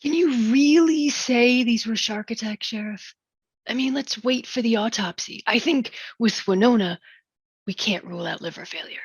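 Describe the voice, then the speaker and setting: A woman's voice: a little high, moderate pace, slightly rough, no echo. A woman reading a stubborn, dismissive beach-town mayor in a musical comedy table read, glib and insistent.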